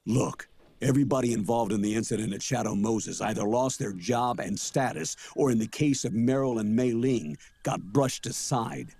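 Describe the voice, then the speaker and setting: Weary, gravelly older colonel. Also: male voice, medium pitch, no echo. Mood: earnest, defensive. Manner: measured, quietly defensive. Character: older male colonel, weary, defensive, apologetic father. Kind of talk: dramatic video game voice acting.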